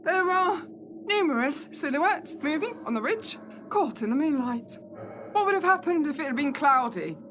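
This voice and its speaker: A woman's voice: high-pitched, lively intonation, normal speed. A woman playing a sarcastic, sceptical young woman in danger in a radio play, with a dry wit. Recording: clear background noise.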